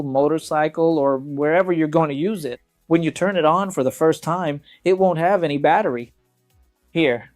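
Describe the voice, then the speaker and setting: A man's voice: a little high, a little mellow. A man presenting a casual hands-on product review, talking conversationally and directly to viewers.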